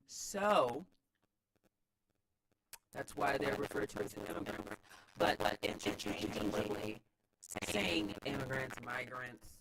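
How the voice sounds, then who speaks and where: A man's voice: mid-range voice, lively intonation, slow pace, long pauses, a bit bright, rough recording. An American man giving casual, opinionated commentary as host of a read-aloud, emphatic and slangy, repeating phrases for emphasis.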